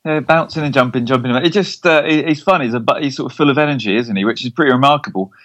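An English man co-hosting a casual football podcast, with a middling pitch. He talks in wordy, hesitant runs and often turns his statements into small questions. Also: fast talker, fairly bright tone, highs cut off, slight background noise.

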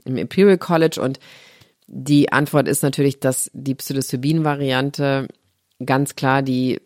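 A woman's voice: very deep voice, fairly even intonation, a little slow, a little mellow, no room sound, clean studio sound. Earnest middle-aged woman explaining at length. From Germany. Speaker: guest, founder of a health platform. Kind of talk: podcast conversation.

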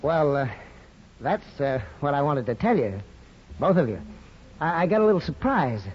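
Eager, husky, excitable grown son. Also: male voice, on the high side, moderate pace. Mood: sheepish. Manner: hesitant starts, boyishly earnest. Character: eager, defensive grown son, young war veteran. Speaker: radio actor. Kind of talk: 1950s radio drama acting. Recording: muffled 1950s radio broadcast.

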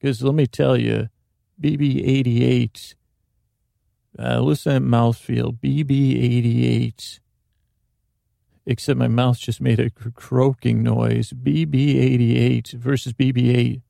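A man hosting a sleep podcast, talking in a slow, rambling, meandering way that drifts off topic and keeps interrupting itself. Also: mid-range voice, level tone, gravelly, mellow, dry recording, clean studio sound. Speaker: podcast host. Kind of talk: rambling bedtime monologue.

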